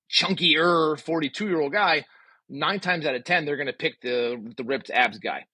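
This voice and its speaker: A man in his forties speaks as a podcast guest in a casual, emphatic way. His voice is bright and slightly rough, and he talks quickly. The sound is close and dry. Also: on the high side, no room sound. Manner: opinionated, emphatic, uses rhetorical questions. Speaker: podcast guest, physical therapist.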